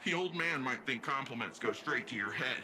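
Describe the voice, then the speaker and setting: An actor playing a brash, joking young guardian hero in a video game, chatty and slangy in manner. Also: male voice, mid-range voice, expressive, a little fast, background noise, natural voice. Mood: warm, admiring.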